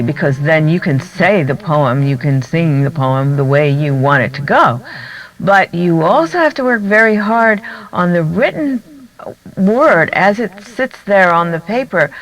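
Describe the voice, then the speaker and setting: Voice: mid-range voice, fairly bright tone, poor audio. A poet speaking thoughtfully in an interview, explaining how writing and performance work. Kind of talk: interview talk on writing poetry.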